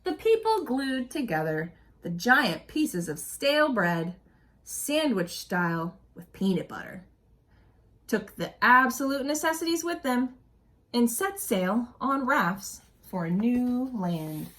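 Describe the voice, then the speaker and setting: Animated woman reading a picture book aloud. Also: fairly high pitch, takes it slowly. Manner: animated storytelling with explanatory asides and questions to young listeners. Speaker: early childhood educator reading to children.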